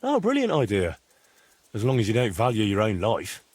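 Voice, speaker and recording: An actor playing a sensible, helpful adventurer in a video game, speaking in a frank, questioning and wry manner. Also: male voice, lowish voice, wildly swooping pitch, moderate pace, a little mellow, slightly rough, no room sound, exaggerated voice. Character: sensible, helpful young male adventurer with dry wit. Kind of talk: video game dialogue acting.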